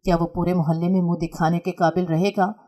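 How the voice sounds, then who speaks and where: A woman's voice: fairly low pitch, little pitch movement, fast talker, warm tone, close to an everyday voice. A woman voicing a stern, proud middle-aged mother who lectures in a harsh, reproachful way. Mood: scolding.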